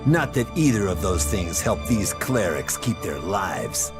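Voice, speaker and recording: An actor playing a cold, logical renegade soldier in a video game, speaking formally and with measured menace. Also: male voice, sits low, big pitch swings, exaggerated voice. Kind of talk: video game dialogue acting. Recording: music under the voice.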